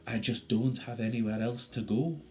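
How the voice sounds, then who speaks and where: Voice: mid-range voice, normal speed, warm tone, soft background music, close to an everyday voice. A storyteller voicing a small female loch creature from Scotland for a children's story, nervous, sad and proudly indignant. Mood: apologetic, sad. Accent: Scottish, put on.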